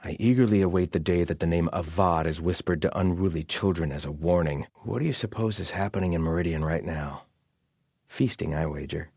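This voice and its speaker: An actor playing a proud, bitter soldier of an exiled sun-worshipping tribe in a video game, speaking in formal, theatrical phrases. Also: male voice, sits low, brisk, vocal fry, a little mellow, slightly rough, no room sound, studio quality, full character voice. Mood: grim, brooding. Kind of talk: scripted game combat barks and guard chatter.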